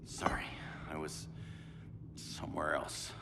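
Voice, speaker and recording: A man's voice: takes it slowly, in a whisper, close to an everyday voice. A man playing a cocky, strong-willed superhero in a video game, wry and defiant. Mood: guarded resolve. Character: cocky, strong-willed male space superhero seeking redemption. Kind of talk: video game cutscene acting. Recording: loud game music behind.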